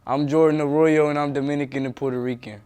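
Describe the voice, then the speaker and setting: A man's voice: on the high side, steady tone, quick pace, loud music behind the voice. A young man answering interview questions in an earnest, emphatic way.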